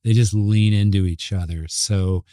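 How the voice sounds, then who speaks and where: A middle-aged man from Montreal with a Canadian accent, talking casually and reflectively as an interview guest, with halting phrasing. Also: on the low side, steady tone, mellow, clean tone, no room sound, studio quality. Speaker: advertising creative executive, interview guest.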